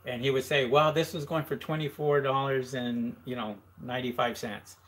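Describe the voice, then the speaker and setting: A man's voice: mid-range voice, steady tone, moderate pace, a bit bright, rough recording. A man hosting a live question-and-answer stream, chatting casually with many fillers and reading viewer comments aloud.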